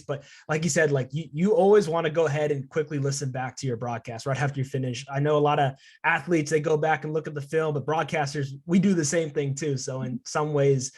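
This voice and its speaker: Young male student interviewer, fairly high voice, casual conversational delivery. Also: steady tone, a little fast, few pauses. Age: young adult. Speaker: student interviewer, podcast host.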